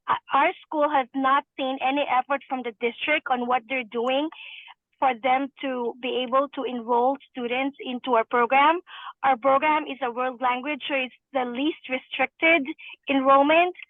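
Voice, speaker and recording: A high-pitched woman comes through a thin, phone-like line with a dry, close sound. She speaks as a parent, hesitant and full of fillers. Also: no room sound. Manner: hesitant, many fillers and restarts. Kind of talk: public comment.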